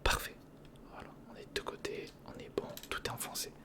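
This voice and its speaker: An ASMR host whispering breathily and close to the mic, thinking aloud to the listener through a step-by-step build. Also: fairly quick. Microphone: close recorder or studio condenser microphone.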